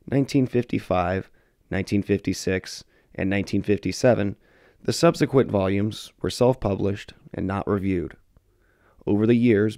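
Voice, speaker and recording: A man narrating a short history film in a measured, scripted way. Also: lowish voice, creaky, mellow, no room sound, clean studio sound. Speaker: documentary narrator.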